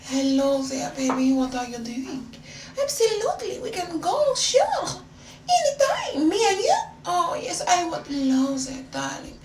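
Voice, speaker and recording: A seductive Frenchwoman, cooing in a very high, swooping voice with a French accent. She is coy, brazen and theatrical, recorded in a roomy, noisy space. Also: very animated, distant mic, full character voice. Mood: flirty, coy. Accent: French, put on. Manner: flirtatious, theatrical, drawn-out terms of endearment.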